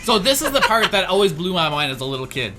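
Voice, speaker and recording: A man's voice: sits high, sing-song, quick pace. A man playing a video game and commenting casually as he goes, breaking into short exclamations. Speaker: gaming show co-host and player. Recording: game music behind.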